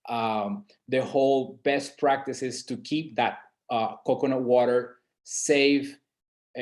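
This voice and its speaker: Middle-aged man, from San Andres in Colombia, webinar presenter, halting delivery, frequent restarts. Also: mid-range voice, darkish tone. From San Andres and Providence, Colombia. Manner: hesitant, many fillers and restarts.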